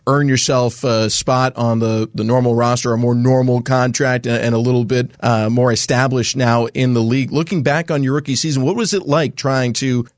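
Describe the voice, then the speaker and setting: A man's voice: medium pitch, fairly even intonation, moderate pace, few pauses, no echo, clean studio sound. A man hosting a sports podcast and asking interview questions in a polished broadcast style.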